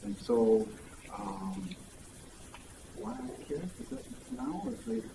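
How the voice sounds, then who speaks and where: A man speaking haltingly on a conference panel, pausing often on fillers. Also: high-pitched, very animated, creaky, heavy background noise. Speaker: coalition member, panelist. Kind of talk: panel discussion. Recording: echoey room from a distant mic.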